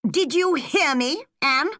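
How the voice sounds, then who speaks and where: Woman acting a male village schoolteacher, stern, firm, scolding, measured pace, storybook style. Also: on the high side, big pitch swings, fairly bright tone, no echo, clean studio sound, voice pushed up, full character voice.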